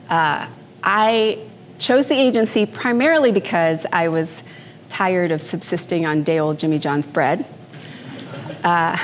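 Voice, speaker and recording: A woman in her fifties from the Philippines, giving a personal talk to a live audience in an unhurried, conversational way with fillers and asides. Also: medium pitch, a little hiss or hum. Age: 50s. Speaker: speaker at a morning talk. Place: event room before an audience. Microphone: handheld microphone.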